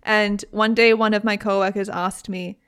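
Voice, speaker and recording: A young British woman hosting a podcast, telling a story in a casual, chatty way with lively asides. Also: medium pitch, normal speed, clean tone. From Britain. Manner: chatty, animated, frequent laughs and asides. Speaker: podcast host.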